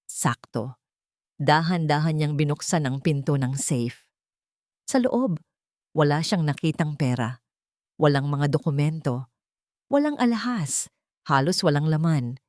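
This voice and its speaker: A woman's voice: low voice, lively intonation, unhurried, long pauses, a little mellow, no room sound, clean studio sound. A woman reading scripted dramatic story narration as the narrator of an audio drama.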